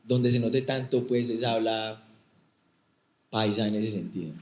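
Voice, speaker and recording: A man's voice: lowish voice, steady tone, unhurried. A man from Medellín with a paisa accent, an audience member asking a long, rambling question with apologetic asides at a live event. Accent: Colombian, Medellín (paisa). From Colombia. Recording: roomy auditorium sound.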